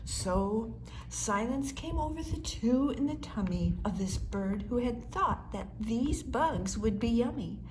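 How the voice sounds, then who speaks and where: A woman reading a rhyming children's story aloud with measured, expressive storytelling. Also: on the high side, slight background noise, rough recording. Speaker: storybook reader.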